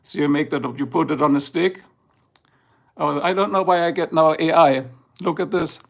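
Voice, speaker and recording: A man with a German accent chats loosely through a livestream, drifting between topics and apologizing for slow speech. His voice sits a little high for a man and carries a gravelly, creaky edge. It sounds like a home setup aimed at a tablet. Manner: rambling, chatty, slow and drowsy at times. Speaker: livestream host. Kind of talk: casual live-stream chat. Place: indoors, filming a tablet slideshow.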